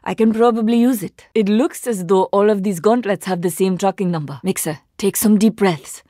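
A woman voicing a composed, determined heroic archer and scientist in a video game, speaking in a formal, measured, precise way. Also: mid-range voice, warm tone, no echo, studio quality, close to an everyday voice. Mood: resolute, dry. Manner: formal, measured, precise wording with few contractions. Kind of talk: video game character voice lines.

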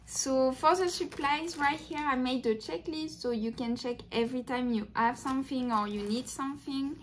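A woman's voice: high voice, moderate pace, a little hiss or hum. A young French woman with a thick French accent, hosting a casual crochet tutorial to camera in a small room, chatty and upbeat with plenty of fillers. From France. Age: young adult. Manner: chatty and upbeat, many "like"s, simple English.

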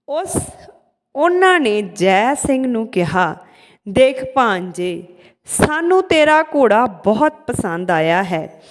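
Female storyteller, animated and swooping, unhurried dramatic delivery of a folk tale, drenched in hall reverb. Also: a little high. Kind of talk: scripted folk-tale narration, reading dialogue aloud.

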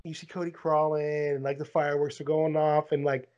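A man's voice: fairly high pitch, flat delivery, a little fast, a little mellow, dull audio. A man co-hosting a casual podcast, rambling with lots of "like" and "dude" as he spins a story. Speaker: podcast co-host.

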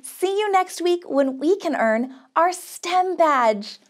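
Woman, American accent, high-pitched voice, upbeat children's host, encouraging, simple craft instructions. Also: expressive, slow pace. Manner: encouraging, upbeat, sing-song praise. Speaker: children's craft show host, camp counselor. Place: small indoor studio set.

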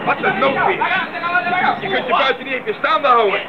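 An actor playing a practical young missionary and radio operator in a radio drama. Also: male voice, very high voice, animated, normal speed, a loud crowd. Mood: urgent, shouting. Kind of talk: 1980s Dutch radio play acting. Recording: dull old radio drama, background noise.

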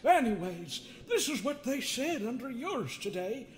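Sardonic male therapist, high voice, extremely animated, theatrical, folksy, mocking, comedic game dub. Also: measured pace, soft background music, exaggerated voice. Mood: sly, amused. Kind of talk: improvised comedic fandub of a horror game.

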